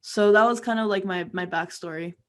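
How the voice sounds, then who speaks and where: Young woman, chatty interview guest telling her story casually, average pitch. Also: fairly quick. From Peru and Florida. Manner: chatty, informal, frequent "like". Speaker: interview guest, music career coach.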